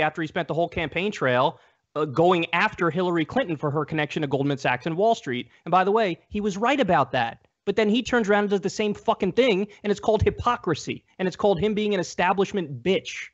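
High voiced dude arguing politics hard, repeats himself, muffled lo-fi mic. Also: male voice, quick pace. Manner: combative, emphatic, repetitive, swearing. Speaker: debate guest. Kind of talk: heated political debate.

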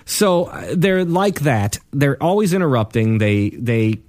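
A man's voice: mid-range voice, sing-song, unhurried, darkish tone, dry recording, studio quality. A man with a Midwestern accent, a defense lawyer talking casually in an interview, blunt and profane, acting out what people said. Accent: Midwestern American. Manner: blunt, profane storyteller who acts out quotes.

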